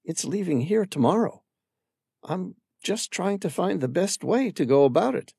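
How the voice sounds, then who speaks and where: A man's voice: high-pitched, expressive, creaky, warm tone, breathy edge, dry recording, clean studio sound, voice pushed up, exaggerated voice. A man reading aloud, voicing a wary, determined woman stranded abroad who guards her secrets. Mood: defensive. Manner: wary, quick to shut down.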